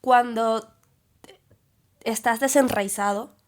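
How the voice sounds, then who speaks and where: A woman's voice: fairly high pitch, fairly even intonation, slow pace. Reflective young Venezuelan woman. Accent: Venezuelan Spanish. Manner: reflective, thinks aloud with fillers and restarts. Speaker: podcast guest. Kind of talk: casual conversation.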